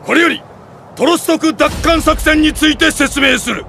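A man playing a stern, composed old army commander in an anime game, delivering deliberate, commanding oratory. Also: very high voice, gravelly, bright, forceful tone, slight background noise, heavily acted. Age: older. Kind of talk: dramatic anime game acting. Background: music.

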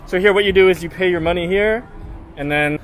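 A man's voice: sits high, a little fast, soft background music. A Canadian man in his thirties, vlogging casually while walking through city streets and bars at night, easygoing and upbeat, with handheld camera sound. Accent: Canadian English. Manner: chatty, easygoing, upbeat narration with fillers. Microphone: handheld camera at arm's length.

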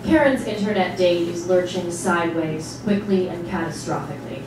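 A woman's voice: fairly low pitch, moderate pace. Wry woman narrator in a very echoey room. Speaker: narrator in a stage reading. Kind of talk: scripted literary narration, performed live. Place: small indoor theatre stage before an audience. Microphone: far camera. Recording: distant, very echoey, noisy live stage recording.

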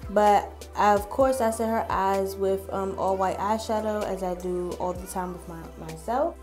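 Chatty lady doing a makeup voiceover, talks to viewers super casually, medium pitch, bit of music under it. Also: fairly even intonation, unhurried. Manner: chatty, informal, addressing viewers. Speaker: makeup artist narrating a tutorial. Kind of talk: casual voice-over tutorial.

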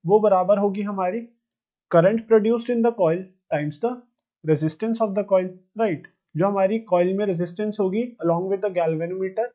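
A man's voice: sits high, dark tone. A man in his twenties with an Indian accent, teaching physics step by step in a methodical way and repeating his phrases. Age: 20s. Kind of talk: step-by-step tutorial explanation. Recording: dull, muffled lo-fi sound.

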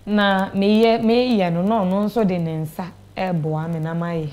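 Young woman, Ghanaian accent, medium pitch, interview guest, conversational personal storytelling, lapel mic in TV studio. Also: fairly even intonation, measured pace, a little mellow. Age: young adult. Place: small TV studio, indoors. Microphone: clip-on lapel mic.

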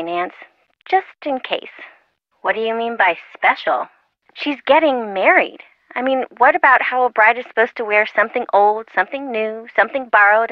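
An actress playing a girly, sentimental young woman, expressive and fussy, chiding her friends through a telephone filter. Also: fairly high pitch, no room sound. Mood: curious, chatty. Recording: muffled, lo-fi phone-call sound.

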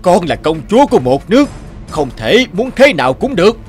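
A loud, imperious emperor. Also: male voice, high voice, sing-song, fairly quick, faint music behind. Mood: stern, commanding. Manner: commanding, imperious. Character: stern, quick-tempered bearded old emperor father. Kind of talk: animated folk-tale dialogue.

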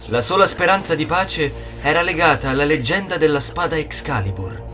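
A man's voice: a little high, animated, brisk, sharp tone. Man as a noble knight father, music behind. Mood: gentle, solemn. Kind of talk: animated film fandub storytelling.

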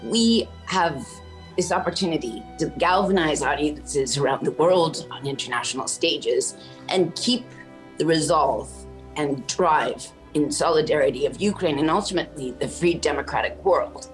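A woman's voice: deep voice, lively intonation, normal speed, soft background music, poor audio. A middle-aged Canadian woman, an orchestra conductor, speaking earnestly and with passion in a remote news interview over a video call from a room at home. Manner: earnest and impassioned.

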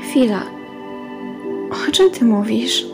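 A woman's voice: fairly high pitch, lively intonation, takes it slowly. A woman playing a guilt-ridden, protective teenage heroine in a dramatic comic dub. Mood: worried. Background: sad cinematic music.

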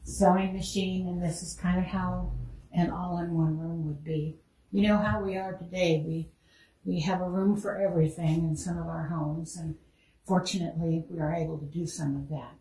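A woman's voice: lowish voice, fairly even intonation, moderate pace, warm tone. A woman curator giving a casual guided tour of a museum, chatting about each exhibit. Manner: chatty, lingers on exhibits, laughs easily. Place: indoor museum room. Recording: roomy, distant mic, faint noise.

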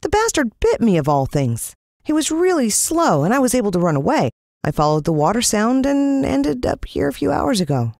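Woman acting injured young boy, American accent, storybook, high plaintive voice, excitable, frightened, animated swings. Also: moderate pace, gravelly, no room sound, studio quality. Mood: weary, plaintive.

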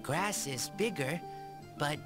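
A woman playing a shy, gentle, loyal feral boy raised by wolves in a video game, speaking in halting broken sentences. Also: sits low, expressive. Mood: simple, earnest. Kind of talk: video game character dialogue. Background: game background music.

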